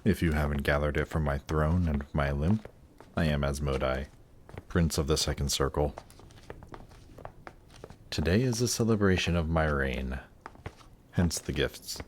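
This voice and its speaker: A man playing a suave, patient, seductive demon prince in a fantasy roleplay audio drama, speaking in a teasing, coaxing, measured way. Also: extremely low pitch, a little hiss or hum. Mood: smooth, self-assured. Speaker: voice actor. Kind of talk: slow, intimate roleplay audio drama performance.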